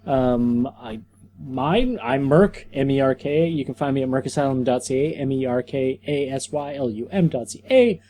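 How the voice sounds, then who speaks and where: A man's voice: medium pitch, moderate pace. A man co-hosting a comedy podcast, casually plugging the show and his work. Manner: relaxed, fillers while plugging links. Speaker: podcast co-host.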